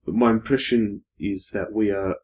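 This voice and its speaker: A young man shares his views in a casual podcast chat. He speaks with a low, husky voice. His delivery is careful and hesitant, with restarts and phrases that fade out softly. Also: fairly even intonation, normal speed. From Australia. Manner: thoughtful, hesitant, with restarts, trailing off to a quiet finish. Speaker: podcast guest.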